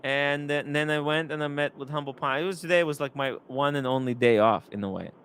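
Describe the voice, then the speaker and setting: A young man hosting a live stream, rambling and animated, reading chat comments aloud. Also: on the high side, brisk, slight background noise.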